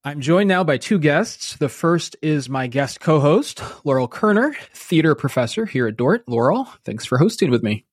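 A man hosting a podcast with measured, articulate phrasing, in the style of an educator presenting and interviewing. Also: fairly high pitch, expressive. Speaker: podcast host; university teacher. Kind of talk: scripted podcast introduction, then conversational interviewing.

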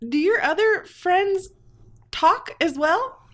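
A woman's voice: extremely high pitch, animated, slow pace, fairly bright tone, pitched above the performer's own voice, heavily acted. A woman playing a polite, curious adventurer with a Southern accent, asking hesitant questions.

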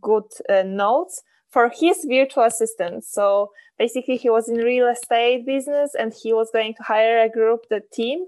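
Bright-pitched, enthusiastic woman podcast host. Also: normal speed. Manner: enthusiastic, with loose non-native phrasing. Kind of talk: casual podcast chat.

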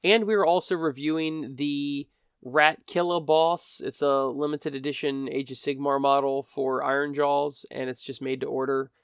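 A man's voice: a little high, fairly even intonation, normal speed, clean tone, no room sound, clean studio sound. Tired, stuffy podcast host man. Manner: rambling, self-mocking humor. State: stuffy from a cold. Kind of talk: solo podcast chat. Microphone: podcast microphone.

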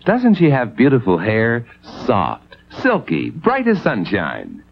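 A man's voice: medium pitch, huge pitch swings, takes it slowly, vocal fry, soft background music. A man announcing a vintage radio advertisement on an old recording. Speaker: commercial announcer. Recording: dull, noisy vintage broadcast recording.

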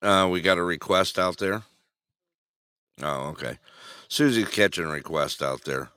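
A man's voice: deep voice, measured pace, bright, forceful tone, smooth, dry recording, clean studio sound. A man hosting a casual live-stream show, rambling, chuckling and reacting to viewer chat. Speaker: host.

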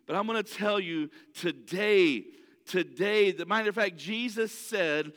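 A man's voice: sits high, measured pace, a bit bright. A man preaching a sermon in an earnest way, often restarting his sentences, for whom English is not his first language. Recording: long hall reverb.

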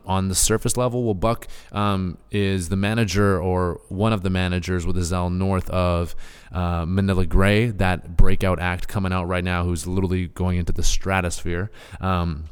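A man hosting a podcast, talking casually and with excitement, full of fillers and hype for his guest. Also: sits low, clear, resonant tone, in a large hall. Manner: excitable, hyping, lots of fillers. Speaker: podcast host.

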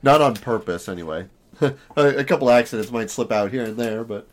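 A man co-hosting a casual podcast, his voice hoarse and raspy from allergies, chatting in a rambling, self-deprecating way. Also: fairly quick, slight background noise. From Southern California. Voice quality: naturally deep voice, raspy today. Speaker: podcast co-host.

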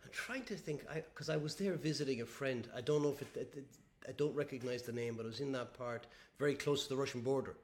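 A man's voice: medium pitch, fast. A man hosting a podcast, asking questions conversationally and often repeating words as he forms them. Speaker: podcast host, interviewer. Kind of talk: conversational interviewing.

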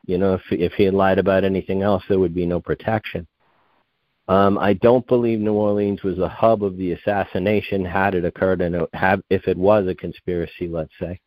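A man's voice: deep voice, little pitch movement, fairly quick, a little mellow, no room sound, poor audio. A man in his fifties, a guest researcher, talking in a meandering, digressive way that keeps correcting itself mid-sentence. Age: 50s. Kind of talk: rambling conversational interview.

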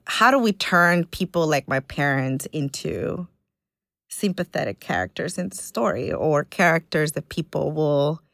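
Woman organizer from Ecuador, thoughtful and halting, low pitch, dry close sound. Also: no room sound. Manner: earnest, thoughtful, halting with fillers. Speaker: immigrant community organizer. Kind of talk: reflective interview conversation.

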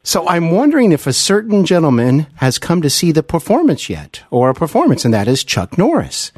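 A man's voice: a little high, huge pitch swings, a little fast, darkish tone, husky, no room sound. Male interview host, American, courteous and chatty, varied intonation, recorded dry. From United States. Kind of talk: conversational interviewing.

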